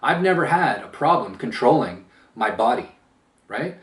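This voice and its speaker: A man giving an emphatic opinion monologue as a channel host, with a powerful voice that swells louder and slow, deliberate phrasing with pauses. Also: medium pitch, very animated. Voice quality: powerful voice that gets loud when upset. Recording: roomy echo, distant from mic.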